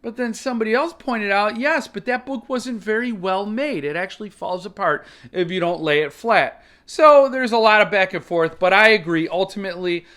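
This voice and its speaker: A man with a somewhat high voice hosts a casual unboxing. He talks conversationally and gives his opinions plainly as he explains the details of what he is showing. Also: animated, moderate pace, hardly any pauses, sharp tone. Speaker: book collector reviewer, channel host.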